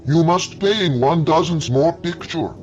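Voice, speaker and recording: Guy doing a high slow alien bug-killer in broken english, pushy, lo-fi w faint music. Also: a little mellow, lightly acted. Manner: broken grammar, eager and insistent.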